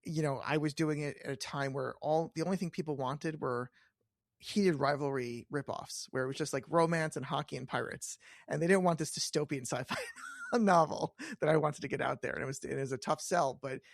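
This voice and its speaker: A man's voice: fairly high pitch, a little fast, gravelly, no echo, clean studio sound. A man hosting a podcast, chatting casually with a guest in an informal interview style. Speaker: podcast host.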